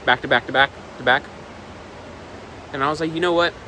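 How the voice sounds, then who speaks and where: A man's voice: fairly high pitch, fairly even intonation, fairly quick, sharp tone, slight background noise. A man of twenty talking casually to camera in a rambling vlog, repeating himself and swearing. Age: 20s. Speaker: vlogger. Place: outdoors on a balcony.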